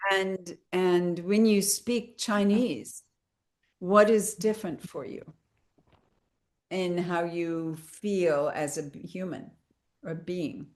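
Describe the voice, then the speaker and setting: A woman hosting a reflective conversation, speaking slowly and choosing her words with care. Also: on the low side, steady tone, darkish tone. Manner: slow, thoughtful, careful with words. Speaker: host.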